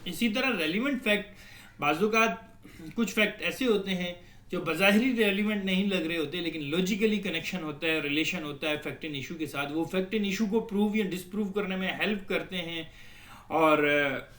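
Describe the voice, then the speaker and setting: A man's voice: sits high, moderate pace, a bit bright. A man with a Pakistani accent giving an explanatory lecture in a didactic way, posing questions and then answering them. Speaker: law lecturer. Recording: echoey, roomy sound.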